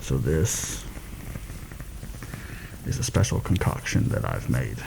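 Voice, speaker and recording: A very deep-voiced, shrewd male mercenary, acted out by a man in a soft-spoken ASMR fantasy roleplay. He confides his secret plans deliberately and a little formally, with a gravelly creak and lively swings in pitch. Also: noisy background, full character voice. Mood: conspiratorial, measured.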